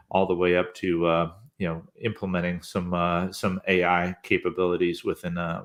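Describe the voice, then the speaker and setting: A man's voice: low-pitched, a bit bright. A man in his fifties, a guest telling his career story in an easygoing, rambling way with lots of hesitant fillers. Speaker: podcast host, interview guest.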